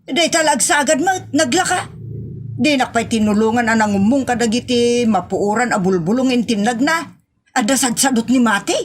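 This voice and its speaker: A woman's voice: a little high, normal speed, close to an everyday voice. A woman with an Ilocano accent playing a nagging, outspoken village woman in a comedy audio drama, drawn out and exclamatory. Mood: chiding.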